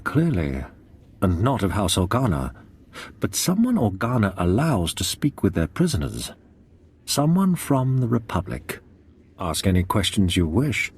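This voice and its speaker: An actor playing a proud captive nobleman in a video game, formal, deliberate and guarded, with a husky voice. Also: low-pitched, a little mellow, heavily acted. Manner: formal, deliberate, haughty phrasing. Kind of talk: dramatic video game acting.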